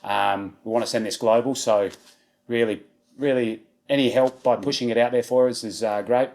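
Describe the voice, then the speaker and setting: A man's voice: mid-range voice, steady tone, moderate pace, a little mellow. A man in his thirties with an Australian accent, answering interview questions casually with many fillers. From South Australia. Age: 30s. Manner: easygoing, many ums and restarts. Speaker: charity walker.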